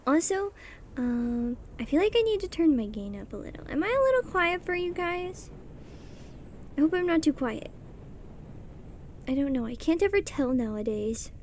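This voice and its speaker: Soft-voiced high young woman streamer. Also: sing-song, normal speed, pauses often, a little hiss or hum. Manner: chatty, rambling, self-deprecating, mutters settings under her breath. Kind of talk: casual chat while drawing on stream. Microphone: streaming mic.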